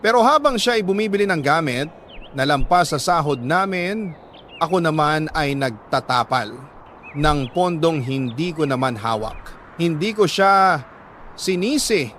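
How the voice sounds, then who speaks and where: A man with a Filipino accent reading a dramatic love story as a radio storyteller, solemn and heartfelt, pausing between short phrases. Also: on the high side, expressive, fairly bright tone, a little hiss or hum.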